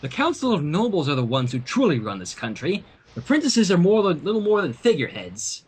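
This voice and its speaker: A sensible young pony princess, read by a man, in a high and slightly husky voice. The tone is patient, reasoning and mildly exasperated, as in a storybook. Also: wildly swooping pitch, brisk, exaggerated voice. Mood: dry.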